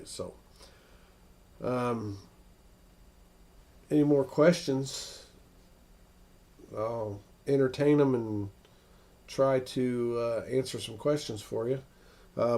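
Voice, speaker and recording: An American man hosting a casual, unscripted weather livestream, thinking aloud in a halting way as he answers viewers. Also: medium pitch, gravelly, darkish tone. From northern United States.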